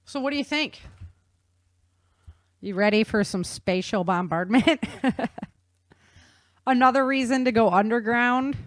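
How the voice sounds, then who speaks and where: A woman's voice: on the high side, takes it slowly, pauses often, dry recording, clean studio sound. Chatty, folksy woman podcast host. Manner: informal, folksy, enthusiastic. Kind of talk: casual explainer chat.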